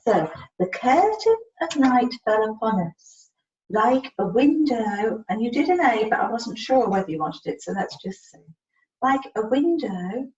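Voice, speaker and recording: A woman's voice: on the high side, very animated, unhurried, a little mellow. A woman patiently coaching a student one-to-one, spelling out letters and giving short, encouraging instructions. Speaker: communication support worker helping a student spell and write. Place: indoors, on a video call. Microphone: distant computer mic on video call. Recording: dull, roomy, distant video-call audio with noise.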